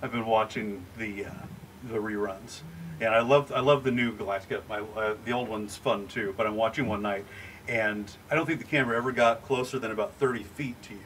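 A man's voice: on the low side, steady tone, a little fast, a bit bright. A man hosting a casual interview, keen and chatty, giving short prompts. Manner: enthusiastic, brief prompts and "right". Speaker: show host, interviewer. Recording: distant from mic with faint noise.

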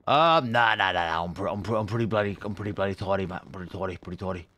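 A man's voice: sits low, rapid-fire, pushed and bright. A man with a New Zealand accent playing a chatty, laid-back male butcher held hostage, who swears a lot and rambles. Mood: easygoing. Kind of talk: roleplay in a video game.

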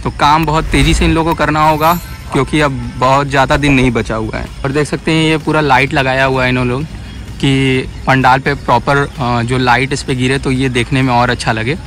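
A man's voice: mid-range voice, fairly even intonation, fairly quick, a bit bright, slight background noise. A young man with a Varanasi Hindi accent talks viewers through a site, chatty and pointing things out, filmed outdoors on a phone held at arm's length. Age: young adult. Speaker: vlogger. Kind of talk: walkthrough vlog narration. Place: outdoor sports field in a city. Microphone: phone camera held at arm's length.

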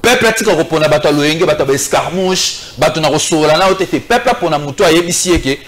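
Un homme d'une quarantaine d'années, débattant avec véhémence et un ton critique sur un plateau de télévision. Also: male voice, on the high side, expressive, quick pace, a crowd in the background. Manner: emphatic, critical, gesturing. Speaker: political commentator. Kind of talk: TV debate. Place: TV studio set.

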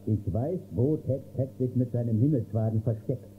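A man's voice: mid-range voice, normal speed, close to an everyday voice. Solemn shaman on thin tape, played by a man. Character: solemn native shaman bringing counsel. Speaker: actor in audio drama. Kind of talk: German children's radio play acting. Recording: muffled thin cassette, faint noise.

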